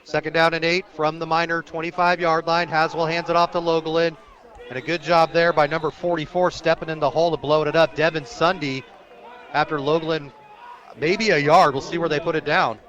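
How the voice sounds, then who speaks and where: A man's voice: a little high, flat delivery, brisk, a bit bright, muffled recording. An American man doing live radio play-by-play of a football game outdoors, describing the action as it unfolds. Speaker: play-by-play sports announcer.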